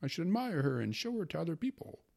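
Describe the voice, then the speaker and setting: A man reading aloud puts on a sentimental aging gentleman, fussy and pleading. The voice climbs far above the reader's own low tone, light and wistful. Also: big pitch swings, quick pace, vocal fry, exaggerated voice. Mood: coaxing. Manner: pleading, wistful, higher than the narration.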